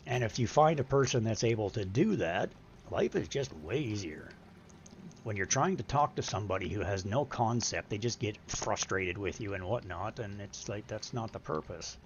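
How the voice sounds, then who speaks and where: A middle-aged man with a deep voice streaming a game, chatting casually, explaining patiently and reading menu items aloud. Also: animated, normal speed, lo-fi sound, a little hiss or hum. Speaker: live streamer. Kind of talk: casual gaming stream chat.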